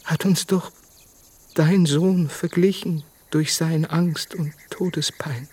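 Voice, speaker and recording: An actress playing a penitent soul begging for mercy, half-whispering devotional verse in a radio drama. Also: female voice, deep voice, fairly even intonation, takes it slowly, darkish tone, slightly rough, exaggerated voice. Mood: penitent, imploring.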